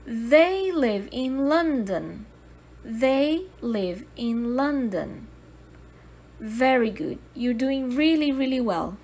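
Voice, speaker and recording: Slow, deliberate woman teaching English. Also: high voice, animated, a little mellow. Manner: switches between English and Portuguese, slow deliberate model sentences. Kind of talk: slow scripted language lesson.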